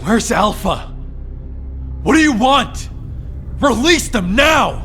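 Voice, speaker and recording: An actress voicing a fierce, defiant young female soldier in a video game, forceful and urgent. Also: a little high, very animated, slow pace, faint music behind, full character voice. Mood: angry, demanding. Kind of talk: dramatic video game acting.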